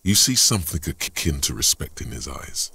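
A man voicing a brooding inner voice that narrates a video game in a measured, husky, gravelly way. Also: rumbling low, sing-song, bright, forceful tone, exaggerated voice. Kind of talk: scripted second-person narration.

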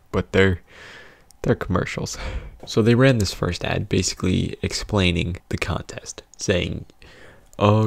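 A young man hosts a casual, comedic storytime. His voice is low with plenty of creak, and he uses mock-dramatic pauses. The sound is dry and close on a studio mic. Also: no room sound. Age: young adult. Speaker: video host, storyteller. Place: small indoor home studio. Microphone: close studio condenser mic with pop filter.

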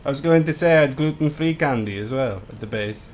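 A man's voice: mid-range voice, fairly quick, a little hiss or hum. A man chatting in improvised game roleplay, polite and hesitant, often repeating himself. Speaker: gamer, video creator.

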